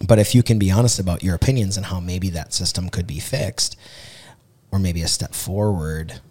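Hoarse low-voiced man hosting a podcast. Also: moderate pace, a little mellow. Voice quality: low and raspy. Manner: long rambling questions full of restarts. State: hoarse, voice worn out from shouting. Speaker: podcast host. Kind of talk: casual interview chat.

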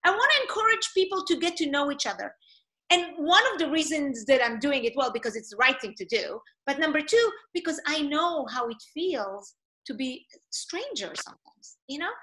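A woman's voice: sits high, lively intonation, moderate pace, pushed and bright, poor audio. A woman from Israel with an Israeli accent, a non-native English speaker, hosting a casual online talk in an enthusiastic, encouraging, emphatic way. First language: not English. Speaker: host of a live online talk session. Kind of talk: casual interview chat. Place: online video call.

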